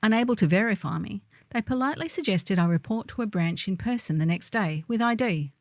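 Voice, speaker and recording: A woman's voice: medium pitch, expressive, fairly quick, no echo, clean studio sound. A middle-aged woman reading a humorous first-person column in a wry, theatrical storytelling manner.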